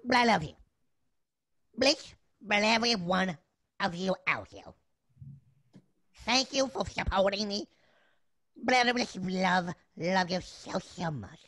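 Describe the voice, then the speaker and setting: A woman's voice: fairly high pitch, sing-song, unhurried, pauses often, a bit bright, husky, exaggerated voice. A woman voicing a sweet, childlike male puppet chef who talks earnestly and effusively, repeating words with feeling. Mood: tearful, adoring. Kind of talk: in-character live chat.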